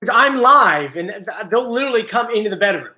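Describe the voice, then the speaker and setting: A man's voice: sits high, expressive, brisk, sharp tone. A man hosting a casual live stream from home, chatty and joking, easily drifting off topic. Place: home, bedroom area. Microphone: computer mic.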